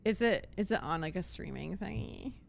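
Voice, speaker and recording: A woman's voice: medium pitch, animated, moderate pace, gravelly, slight background noise. A woman streaming a video game, chatting casually and thinking aloud in a giggly, talkative way. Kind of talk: casual live stream chat. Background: game music.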